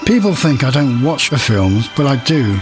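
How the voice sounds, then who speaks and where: A man playing a proud, wistful cinema manager in an audio monologue, confiding and reflective, with a husky voice. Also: mid-range voice, very animated, moderate pace, music in the background, close to an everyday voice. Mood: enthusiastic.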